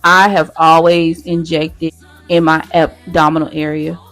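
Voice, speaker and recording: A nurse chatting casually with her viewers in a how-to vlog, talking straight to them as y'all, her voice hoarse. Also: low voice, little pitch movement, a little slow, fairly bright tone, soft background music, low-quality recording. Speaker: nurse sharing her own experience.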